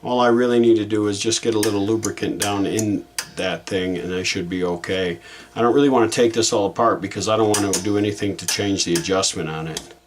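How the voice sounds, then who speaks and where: A man's voice: on the low side, a little hiss or hum. A man giving a casual hands-on repair demonstration, thinking aloud in an informal, folksy way as he works. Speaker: repair hobbyist hosting a how-to video.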